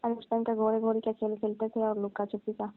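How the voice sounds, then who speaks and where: A woman's voice: fairly high pitch, monotone, a little fast, no room sound, soft background music, lightly acted. A young woman playing a coy, teasing female cousin in a casual, colloquial phone chat. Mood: coy reminiscing. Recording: thin narrow-band phone line.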